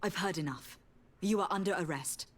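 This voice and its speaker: A woman's voice: on the low side, moderate pace, slight background noise, lightly acted. An actress playing a principled noblewoman envoy in a fantasy video game, questioning and reproaching with formal composure. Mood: stern, resolute.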